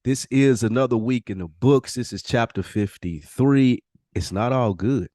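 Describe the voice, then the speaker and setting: A man's voice: mid-range voice, unhurried, mellow, dry recording, studio quality. An American man hosting a podcast in a casual, slangy, laid-back way, swearing freely. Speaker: podcast host. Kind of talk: casual podcast chat and show intro.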